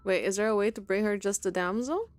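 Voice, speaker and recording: A woman's voice: medium pitch, quick pace, no room sound. A woman gamer giving casual playthrough commentary and reading dialogue choices aloud as she plays. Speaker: gaming streamer. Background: game music.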